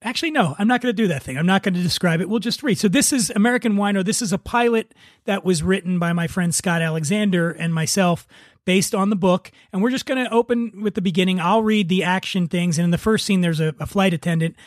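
A man's voice: high voice, quick pace, dry recording, clean studio sound. A man hosting a podcast, talking casually and narrating a script. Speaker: podcast host and writer.

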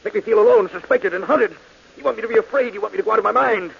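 A man's voice: high voice, racing, creaky, husky, exaggerated voice. A man playing a bitter, defensive murder suspect who turns to threats in an old radio drama. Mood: menacing. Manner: defensive, rising to angry threats. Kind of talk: 1940s radio acting. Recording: dull old radio recording with hiss.

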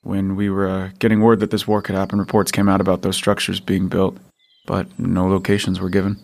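A man's voice: sits low, completely flat delivery, fairly quick, gravelly, darkish tone. An actor playing a weary, protective father in an audio drama, talking in a dry, tired and blunt way.

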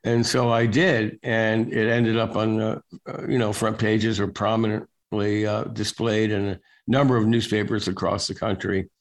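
An older man being interviewed as a guest, telling stories in a relaxed, wry way with lots of filler words. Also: on the low side, normal speed, few pauses. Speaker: guest, national security reporter. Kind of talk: casual storytelling interview.

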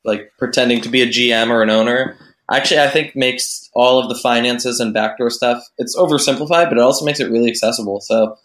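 Man from Boston, mid-pitched voice, lively self-deprecating podcast chat, echoey room. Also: quick pace. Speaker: podcast co-host. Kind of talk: casual podcast discussion. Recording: roomy echo.

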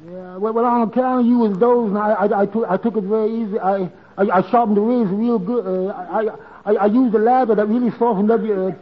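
High-pitched stammering barber. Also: male voice, steady tone, fast, few pauses. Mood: flustered. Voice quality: stutter. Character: nervous, stammering, bumbling male barber. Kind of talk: 1940s radio comedy acting. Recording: muffled old radio recording.

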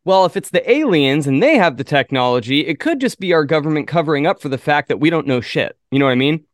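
A man co-hosting a podcast, musing out loud in a casual conversational way. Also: fairly high pitch, expressive, fast talker, no room sound, clean studio sound. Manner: musing, thinking aloud in questions. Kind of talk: casual chat.

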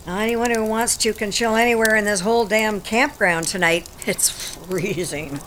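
An American actress, middle-aged, playing a blunt, salty older warehouse worker in a naturalistic audio drama. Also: female voice, medium pitch, moderate pace, a bit bright, slight background noise, lightly acted, poor audio. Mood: gruff, irritated. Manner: salty, plainspoken, folksy. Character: blunt, aging warehouse worker living in a van.

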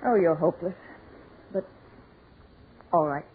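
A woman's voice: fairly low pitch, unhurried, natural voice. An actress playing an earnest, poised, reproachful society woman in a 1940s radio drama. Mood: wry, exasperated. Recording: scratchy 1940s radio broadcast, muffled, noisy.

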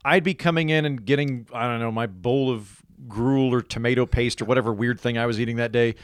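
Deadpan man from Oklahoma. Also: medium pitch, brisk, clean tone, no echo. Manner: dry, deadpan humor with rambling restarts. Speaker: interview guest. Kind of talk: casual conversational interview.

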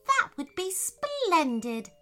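Tiny wise firefly, calm and relaxed, pitched up high, storybook critter, woman voicing. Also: takes it slowly, soft background music, exaggerated voice. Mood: pleased.

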